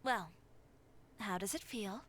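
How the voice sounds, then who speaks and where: A woman's voice: mid-range voice, takes it slowly, fairly bright tone, a little hiss or hum. A woman playing an assertive, blunt young female fashion designer in a video game, frank and dry. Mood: relaxed. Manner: frank, self-assured, dry. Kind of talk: video game character acting.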